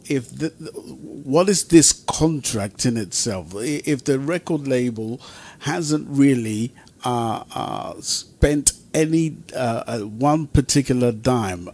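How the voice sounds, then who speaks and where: A man hosting a radio talk show, with deliberate, hesitant phrasing as he reads and discusses. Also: medium pitch. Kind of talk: measured radio discussion and reading aloud.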